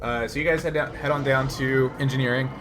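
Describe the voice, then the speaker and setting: A man running a tabletop roleplaying game as game master, improvising his narration haltingly as he thinks aloud. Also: mid-range voice, fairly even intonation. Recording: noisy room ambience.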